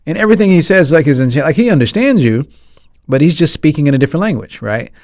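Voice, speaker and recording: A man's voice: on the high side, quick pace, no room sound, studio quality. American man, playful podcast host. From United States. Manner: animated, playful, conversational asides, often asking "right?". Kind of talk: casual film discussion to audience. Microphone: close studio mic on boom arm, headphones.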